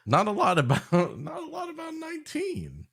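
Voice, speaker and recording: A man's voice: very high voice, wildly swooping pitch, moderate pace, a bit bright. A man from the United States co-hosting a podcast, improvising comic banter in a dry, sardonic way. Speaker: podcast co-host.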